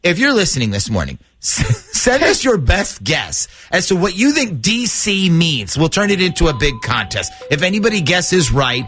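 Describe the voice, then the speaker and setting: Male radio host, higher-pitched voice, lively intonation, jokey chatty banter, music underneath. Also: normal speed, few pauses, slightly rough, dry recording. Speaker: morning radio show host. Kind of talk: radio talk banter and prank call.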